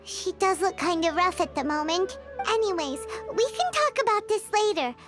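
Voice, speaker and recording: A woman voicing a small, childlike fairy companion in a video game, perky, cheeky and chatty in a squeaky voice. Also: expressive, moderate pace. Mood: concerned, reassuring. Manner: exclamatory, chatty, refers to herself by name. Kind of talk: animated video game voice acting. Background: music.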